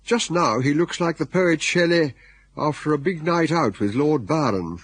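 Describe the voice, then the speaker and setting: Husky English male radio narrator. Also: on the high side, lively intonation, moderate pace, no echo. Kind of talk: wry scripted radio drama narration.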